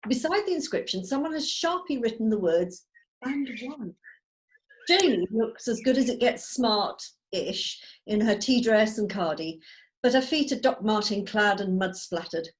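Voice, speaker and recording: A woman's voice: medium pitch, lively intonation, a little slow, poor audio. A woman narrating the stage directions of a script in a table read over a video call.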